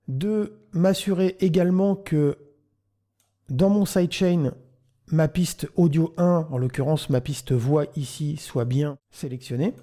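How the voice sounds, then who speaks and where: A man narrating a software tutorial, explaining things step by step as the host. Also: a little high.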